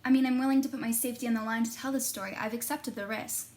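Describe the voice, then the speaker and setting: Woman acting a investigative reporter, earnest, determined, easily flustered, higher-pitched, podcast drama. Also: steady tone, rapid-fire, a little mellow, close to an everyday voice. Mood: earnest, rambling. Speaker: actor and narrator in an audio drama. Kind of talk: podcast-style narration and naturalistic drama dialogue.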